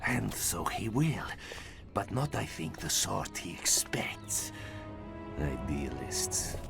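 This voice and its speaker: An actor playing a cold, menacing Soviet commander in a video game, with a Russian accent and a deliberate, sinister, gravelly delivery. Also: male voice, deep voice, very animated, loud music behind the voice, exaggerated voice. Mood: sinister, scornful. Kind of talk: video game villain acting.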